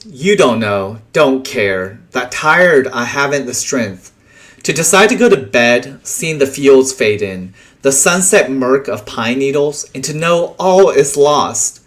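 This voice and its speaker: A man reads poetry aloud at a slow, deliberate pace. His medium-pitched voice rises and falls widely, with pauses setting short phrases apart. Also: expressive, fairly bright tone. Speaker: poetry reader. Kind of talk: reading translated poems aloud.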